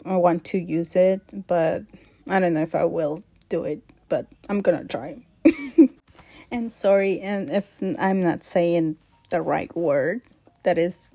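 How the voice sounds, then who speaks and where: Slow, soft-spoken woman with an accent. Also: on the low side, no echo. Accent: non-native English accent. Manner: hesitant, many fillers, soft laughs. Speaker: art vlogger. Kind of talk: casual sketchbook vlog chat.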